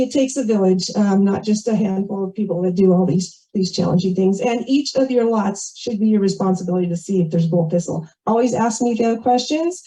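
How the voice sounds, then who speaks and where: A woman's voice: medium pitch, warm tone, husky. An American woman giving an informal, chatty and rambling report at a community meeting into a small microphone, in muffled audio. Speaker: committee member and volunteer organizer. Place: community meeting, shared over video call. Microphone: small microphone passed between speakers.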